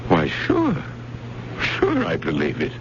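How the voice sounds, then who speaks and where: An actor playing a kindly, sympathetic pawnshop man, gentle and familiar in manner, in an old-time radio drama. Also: mid-range voice, huge pitch swings, takes it slowly, gravelly, full character voice. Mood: resigned, kindly. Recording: muffled, noisy old radio recording.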